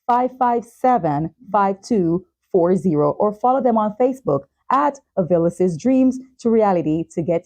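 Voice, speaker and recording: A woman speaks as the host of a talk show. Her voice sits at a medium pitch with a warm, mellow tone. She presents segments and poses questions in interview style.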